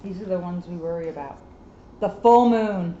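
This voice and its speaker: A woman's voice: fairly low pitch, moderate pace, dark tone. A woman, a police officer, making casual chatty asides during a read-aloud. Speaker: police officer co-reading, chiming in with remarks. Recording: roomy, dull lo-fi, faint background noise.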